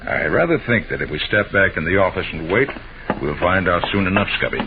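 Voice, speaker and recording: A man playing a confident, sharp-minded master detective in an old-time radio mystery, explaining and questioning in an assured, methodical way. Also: sits low, expressive, brisk, creaky. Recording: dull, noisy old radio broadcast recording.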